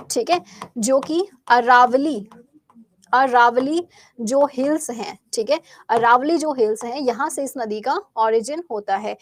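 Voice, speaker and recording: A woman teaching a live exam-prep quiz class with a Bihari Hindi accent, chatty and asking questions she then answers, her throat sore and cleared often. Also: a little high.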